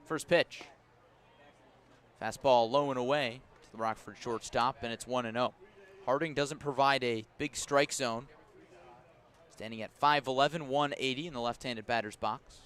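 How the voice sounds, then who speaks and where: A man doing live radio baseball play-by-play, describing each pitch in detail and filling in with player stories. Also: moderate pace, clean tone. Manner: descriptive, storytelling between pitches, rising to excited calls on big plays.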